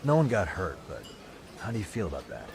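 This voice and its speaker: An actor playing a cool, level-headed private detective in a video game, asking direct questions in a casual way. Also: male voice, low-pitched, wildly swooping pitch, brisk, vocal fry, exaggerated voice. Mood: probing. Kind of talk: video game cutscene acting.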